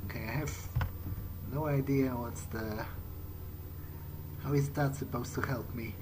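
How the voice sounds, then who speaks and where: A man's voice: mid-range voice, fairly even intonation, unhurried, noisy background. A man giving in-character gameplay commentary, musing hesitantly to himself as he plays. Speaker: let's play gamer. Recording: game music behind the voice. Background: video game soundtrack.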